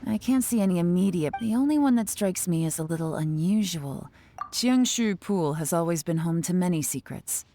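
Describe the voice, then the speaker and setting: Kühle, tiefe, befehlsgewohnte Geheimdienstchefin. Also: female voice, lowish voice, lively intonation, dark tone, slight background noise. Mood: composed. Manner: measured, authoritative, matter-of-fact. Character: cool, commanding woman spymaster, analytical and composed. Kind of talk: English video game voice acting. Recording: music behind the dialogue.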